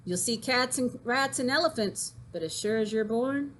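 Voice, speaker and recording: A woman teacher reading aloud expressively and explaining things simply for children, with an animated, folksy delivery. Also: fairly high pitch, moderate pace, slight background noise. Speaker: teacher reading poems to grade-school children.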